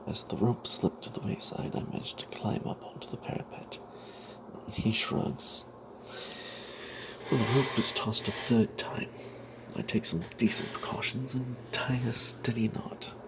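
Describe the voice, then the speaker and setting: A man narrating a first-person fantasy story as ASMR in a breathy whisper, hushed and halting, with wry asides. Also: on the low side, steady tone. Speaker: storyteller narrating own tabletop character. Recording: noticeable background noise.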